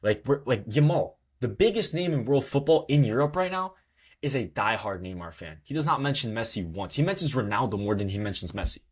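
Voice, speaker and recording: A man's voice: mid-range voice, sing-song, a little fast, slightly rough. A man from Brazil co-hosting a football podcast, speaking with passion and repeating points for emphasis. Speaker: podcast co-host. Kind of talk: casual football discussion.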